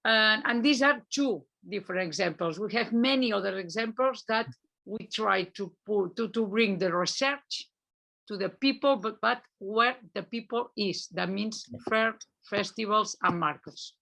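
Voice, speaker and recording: Woman, gravelly voice, very slow, hesitant, conference talk, video call. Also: medium pitch, sharp tone, poor audio. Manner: hesitant, many fillers and restarts. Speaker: conference presenter. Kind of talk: unscripted presentation.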